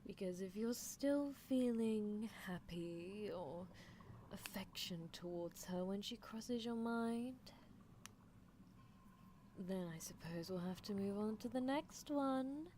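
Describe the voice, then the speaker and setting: A woman's voice: mid-range voice, takes it slowly, dark tone, a little hiss or hum, close to an everyday voice. A woman voicing an obsessive mad scientist who coaxes and condescends to a captive love interest in an ASMR roleplay, with menacing affection. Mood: clinical, possessive.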